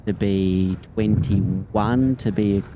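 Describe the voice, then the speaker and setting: A man with a New Zealand accent, an air traffic controller chatting as a guest on a headset, relaxed and rambling. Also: on the low side, slow pace. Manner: relaxed, rambling, trails off and restarts. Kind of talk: casual chat. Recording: loud outdoor traffic noise.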